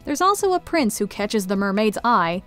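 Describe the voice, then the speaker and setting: A woman's voice: medium pitch, big pitch swings, moderate pace, fairly bright tone, faint music behind. A woman reading scripted video essay narration into a close studio microphone. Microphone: close studio voice-over mic.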